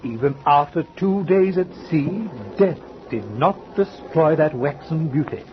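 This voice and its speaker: Courteous, lively-voiced sea captain. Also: male voice, on the high side, measured pace. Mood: composed. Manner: formal, courteous, matter-of-fact with small hesitations. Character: polite, composed male sea captain. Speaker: ship's captain. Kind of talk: 1940s radio acting. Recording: dull old radio recording with clear hiss.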